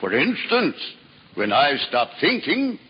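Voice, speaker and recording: A man's voice: a little high, huge pitch swings, takes it slowly, slightly rough, lo-fi sound, heavily acted. A man playing a witty, learned priest who teases his friend in a 1950s radio drama. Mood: wry. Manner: dry, teasing, scholarly. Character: witty learned male monk, Father Elias. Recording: old radio broadcast with long reverb.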